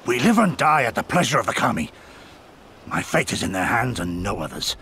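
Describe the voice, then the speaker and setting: A stern rebel warrior speaks in a gravelly, forceful voice with strong swings of pitch. He is grave, formal and resolute, as in a fantasy game, with faint ambience behind him. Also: very animated, brisk, a little hiss or hum. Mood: grave, resigned. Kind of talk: video game voice acting.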